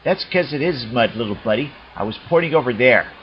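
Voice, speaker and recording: A cocky blue hedgehog hero trades quips in a lively, bouncing voice, as a performer acts him out. The fan dub sounds dull and lo-fi. Music plays behind him. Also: medium pitch, brisk, close to an everyday voice. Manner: wisecracking, cocky.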